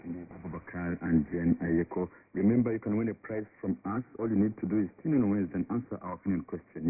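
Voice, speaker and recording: A man's voice: lowish voice, slightly rough. A man hosting a radio news programme, reading scripted announcements and headlines over a shortwave broadcast. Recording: muffled narrow-band shortwave radio.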